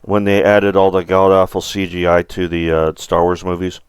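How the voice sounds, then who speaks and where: A middle-aged man hosting a gaming livestream, chatting casually in a rambling, halting way. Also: low voice, completely flat delivery, clean tone, no room sound, clean studio sound.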